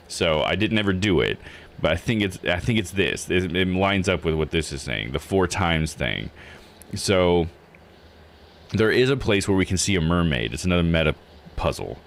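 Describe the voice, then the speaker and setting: A man's voice: sits low, a bit bright, a little hiss or hum. A man hosting a livestream of a video game, thinking aloud in casual, slangy commentary. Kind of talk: casual gaming stream commentary.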